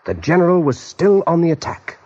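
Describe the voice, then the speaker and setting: A man's voice: a little high, big pitch swings, fairly quick, noisy background. A man narrating a scripted radio drama as storyteller. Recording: dull vintage radio recording.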